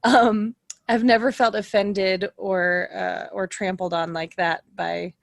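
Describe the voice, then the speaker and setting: A woman's voice: mid-range voice, level tone, slow pace, vocal fry, no echo, clean studio sound. A woman in her thirties talking casually on a podcast, giggly and self-deprecating, as one of the show's players. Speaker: podcast cast member and player.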